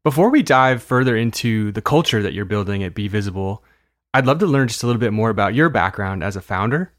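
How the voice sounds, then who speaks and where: A low-voiced man hosts an interview, asking questions carefully and hedging as he goes. His voice sounds close and dry. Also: animated, quick pace, no echo. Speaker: interview host. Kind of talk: interview conversation.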